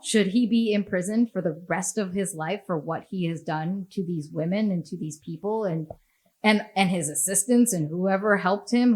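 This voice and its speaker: A woman with an American accent hosting a podcast, talking in a casual, opinionated way, blunt and sweary, laughing often. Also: medium pitch. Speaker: podcast host.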